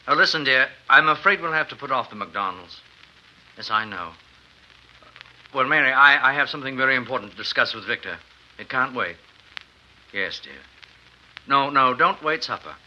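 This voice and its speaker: An actor playing an anxious, devout minister in a 1950s radio drama, speaking in a halting, earnest, pleading way. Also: male voice, mid-range voice, lo-fi sound, lightly acted. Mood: flustered, apologetic. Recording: faintly noisy 1950s radio recording.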